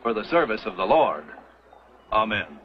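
An actor playing a stern, devout pioneer church elder who speaks in a solemn, firm way. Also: male voice, fairly low pitch, sing-song, moderate pace. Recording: dull old TV soundtrack with faint hiss.

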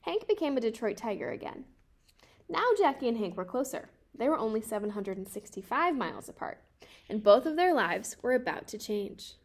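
A woman in her twenties reading a children's picture book aloud in a careful, measured way. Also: on the high side, big pitch swings. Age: 20s. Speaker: storybook reader.

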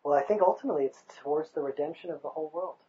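A man's voice: a little high, racing, mellow, dull audio, slight background noise. Passionate man on an outdoor overlook. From United States. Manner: passionate, emphatic, rhetorical. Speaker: interviewee. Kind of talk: outdoor interview. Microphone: lapel mic.

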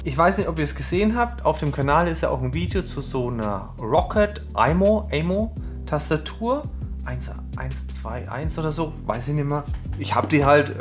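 A woman hosting a tech channel, casually reviewing a product at home, rambling and chatty with lots of fillers and tag questions. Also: low voice. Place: indoors at home, moving between rooms.

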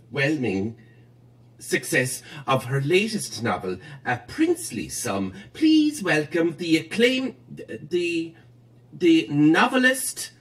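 Flustered, halting male event host. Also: fairly high pitch, a bit bright, full character voice. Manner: hesitant, fumbling, repeats himself. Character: flustered, halting bookshop host introducing an author. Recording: off-mic, some distance away.

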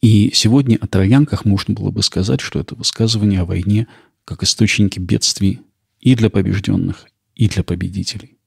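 A man hosting a podcast with measured, explanatory scripted documentary narration. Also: lowish voice, steady tone, vocal fry, darkish tone, breathy edge, no echo, studio quality. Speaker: podcast host.

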